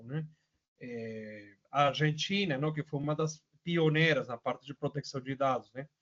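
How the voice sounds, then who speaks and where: Venezuelan-accented man presenting a webinar. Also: fairly high pitch. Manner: casual, many fillers and tag questions. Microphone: video call. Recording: muffled lo-fi audio.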